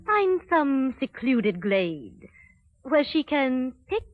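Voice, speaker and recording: Imperious evil queen, slow, menacing. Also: high-pitched, big pitch swings, no room sound, heavily acted. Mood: cold command. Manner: commanding, menacing, measured. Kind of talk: 1930s radio drama acting. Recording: dull, dry 1930s radio recording.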